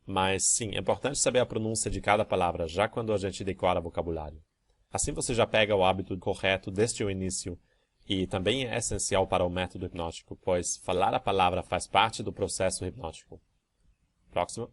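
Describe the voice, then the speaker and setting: A man, a language course teacher, reading out student emails and answering them one by one in a calm, methodical way. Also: deep voice, brisk.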